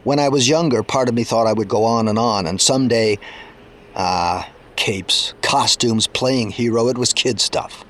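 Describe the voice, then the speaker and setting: A man's voice: mid-range voice, normal speed, fairly bright tone, natural voice. A man playing a middle-aged engineer and former sidekick in an audio drama, world-weary and bitter, with a casual, wry delivery. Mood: bitter, resigned.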